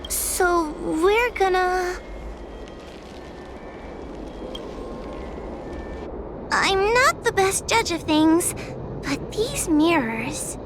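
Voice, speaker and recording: A woman's voice: extremely high pitch, big pitch swings, slow pace, noisy background, heavily acted. An actress playing a timid, easily scared young girl in a video game, speaking meekly and hesitantly. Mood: wary, nervous. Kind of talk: video game character acting.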